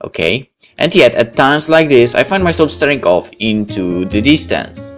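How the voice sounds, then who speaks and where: A man's voice: mid-range voice, lively intonation, moderate pace, fairly bright tone, soft background music. A man reading a story game aloud and commenting on it as he plays. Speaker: gamer reading a visual novel aloud and commenting. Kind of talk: dramatic read-aloud of first-person fiction with casual asides.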